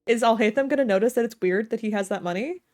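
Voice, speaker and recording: A woman's voice: fairly high pitch, fast talker, a little mellow. A woman livestreamer giving casual, joking, slangy reactions as she plays a game. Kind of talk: casual game playthrough commentary.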